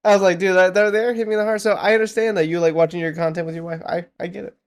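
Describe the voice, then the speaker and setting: Man, quick pace, a bit high, animated storyteller, casual chat. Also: clear, resonant tone. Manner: quick, animated anecdotes with acted quotes. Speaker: podcast co-host.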